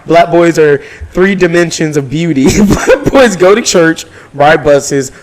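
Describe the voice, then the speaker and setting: A man's voice: a little high, a little slow, slight background noise, rough recording. A man in a casual podcast chat who reads aloud mockingly, giggling, swearing and stumbling over words. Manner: giggly, stumbles while reading, profane. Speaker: podcast co-host.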